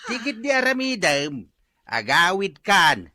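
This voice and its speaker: A man's voice: sits high, very animated, measured pace, pushed and bright, no echo, exaggerated voice. A man playing a disapproving neighbour who puts up with village gossip in an Ilocano radio drama. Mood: admonishing.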